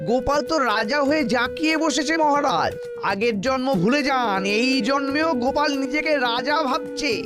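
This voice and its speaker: A plotting, resentful royal courtier from a cartoon. He talks in a very high voice, accusing and insinuating, over clear background music. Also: moderate pace. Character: resentful, plotting male courtier stirring trouble against a rival.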